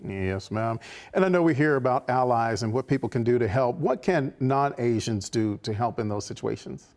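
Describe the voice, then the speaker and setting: A man's voice: medium pitch, expressive, moderate pace, a little mellow. A man hosting a TV talk show, introducing and questioning a guest in a polished, friendly broadcaster's manner. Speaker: TV host. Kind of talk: TV interview. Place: TV studio.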